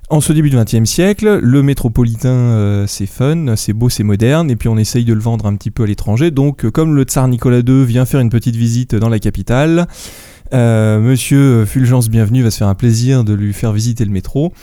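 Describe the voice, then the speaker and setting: A man's voice: medium pitch, a little fast, dark tone, dry recording, clean studio sound. A man with a cold and a stuffy nose, presenting a book in a rambling, hesitant way. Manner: rambling, many fillers and restarts. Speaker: book columnist. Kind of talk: casual book review.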